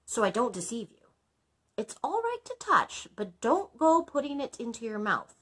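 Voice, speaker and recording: A woman's voice: fairly high pitch, big pitch swings, normal speed, fairly bright tone, breathy edge, voice pushed up, exaggerated voice. A woman voicing a polite, crafty male blacksmith and father in a storybook, deferential and matter-of-fact. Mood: polite, coaxing.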